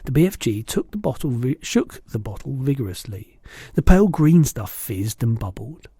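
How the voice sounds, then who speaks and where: A man reading a children's storybook aloud as an expressive narrator. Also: mid-range voice, moderate pace, slightly rough, no room sound, studio quality. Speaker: storybook reader.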